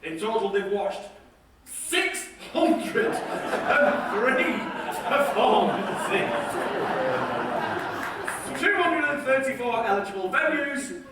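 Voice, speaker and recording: A man hosting an awards ceremony on a theatre stage, a lively showman rousing the audience. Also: very high voice, takes it slowly, pauses often, heavy background noise. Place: large theatre auditorium. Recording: echoey hall heard from a distance, loud crowd.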